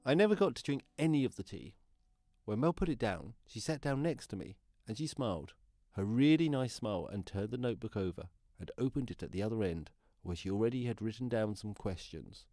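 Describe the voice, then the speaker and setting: A man's voice: fairly low pitch, expressive, brisk, darkish tone, dry recording, clean studio sound, natural voice. A man acting an earnest deaf man in a radio play monologue, telling his story in simple, literal steps. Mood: earnest, hurt. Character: earnest deaf man recounting events plainly. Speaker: actor in a student radio play. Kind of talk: first-person radio drama monologue.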